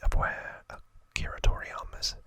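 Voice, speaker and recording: A man hosting ASMR, narrating trivia in a breathy, hushed whisper with unhurried pauses. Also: no echo. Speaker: ASMR host.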